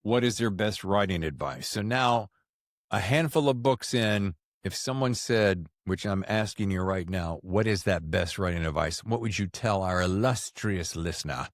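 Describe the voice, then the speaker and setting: A man with a fairly low voice hosts a casual interview podcast. He talks conversationally and tells stories in an animated way, playing out little quotes as he goes. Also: moderate pace, dry recording, clean studio sound. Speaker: podcast host.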